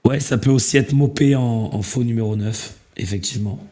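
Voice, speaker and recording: A man hosting a casual call-in show, talking in a relaxed, rambling way with many fillers while losing his voice to a cold. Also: medium pitch, fairly quick. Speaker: host.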